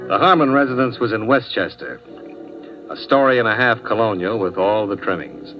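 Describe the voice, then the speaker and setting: An actor playing a tough, wry insurance investigator with clipped delivery in a 1950s radio detective drama. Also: male voice, on the low side, moderate pace, music in the background, natural voice. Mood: matter-of-fact narration. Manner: clipped, wry, hard-boiled. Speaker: lead radio actor and first-person narrator. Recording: muffled old radio broadcast.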